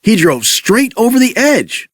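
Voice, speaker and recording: A young, cocky red race car, proud and upbeat, as performed by a male narrator for a children's storybook. The voice is fairly high and very animated, with a dry studio sound. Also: a little slow, no room sound, exaggerated voice. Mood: competitive.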